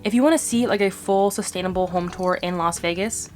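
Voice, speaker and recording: A woman's voice: mid-range voice, fairly quick, darkish tone. A young woman talking in a casual, upbeat, informal way, as a vlogger addressing her viewers. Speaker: vlogger hosting a home declutter channel. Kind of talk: casual chatty vlog.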